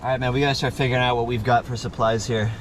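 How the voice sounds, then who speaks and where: A man with a mid-pitched voice speaks in a practical, matter-of-fact way, as if working through a survival situation. His delivery is unscripted and a little hesitant. Clear boat and water noise runs behind him. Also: fairly even intonation, very fast. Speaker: amateur actor in a homemade survival dramatization. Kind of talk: improvised survival role-play, plain conversational talk. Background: boat, water and vehicle rumble.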